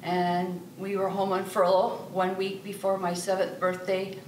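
A woman's voice: mid-range voice, monotone, a little slow. A woman in her sixties who grew up in Brazil, a missionary guest telling her story earnestly. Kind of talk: casual interview testimony. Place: church lounge with sofas, indoors. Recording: roomy echo, distant mic, faint hiss.

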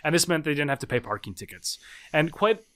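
A man in his thirties, a guest author in a conversational interview, explaining in quick, animated bursts with frequent restarts. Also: fairly high pitch. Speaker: guest, political scientist and author.

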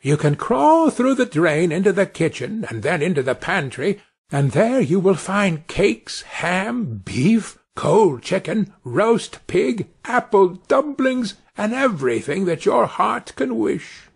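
A cheeky, tiny boy hero from a storybook, as a man reads him aloud, piping up in a high, eager voice that leaps all over the place. He speaks slowly and with a soft, husky edge. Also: sing-song, exaggerated voice. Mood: coaxing. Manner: high, sing-song and eager.

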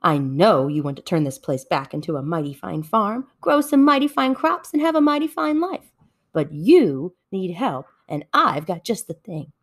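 A woman voicing a clever, mischievous male fox salesman with excitable, wheedling patter in a storybook. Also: on the low side, normal speed. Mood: excited pitching.

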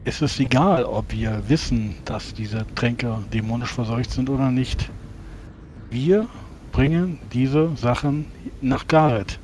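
A man playing a tabletop role-playing game in character, speaking in measured, formal words with hesitant pauses. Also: medium pitch, darkish tone, slight background noise.

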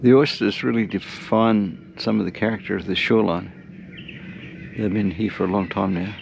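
A man narrating his solo sailing trip from a small wooden boat outdoors, talking in an unhurried, reflective way with wry asides. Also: on the low side, steady tone, gravelly, background noise. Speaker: sailing vlogger. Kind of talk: casual first-person narration while sailing.

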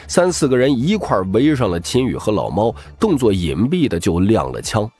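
A man's voice: lowish voice, sing-song, moderate pace, darkish tone, faint music behind. A man narrating scripted fiction as a dramatic audiobook reader.

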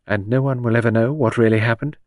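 A man's voice: mid-range voice, fairly even intonation, fast talker, a little mellow, no echo, clean studio sound, lightly acted. A man voicing a tired, grieving young woman with a bitter edge, in an audiobook reading. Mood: subdued. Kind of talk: audiobook character voice.

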